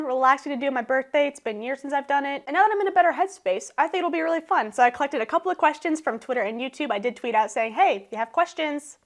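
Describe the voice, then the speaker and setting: A thirty-year-old woman, chatting to the camera in her living room, emotional and laughing as she talks. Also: high-pitched. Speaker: YouTuber. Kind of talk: casual vlog talk to camera.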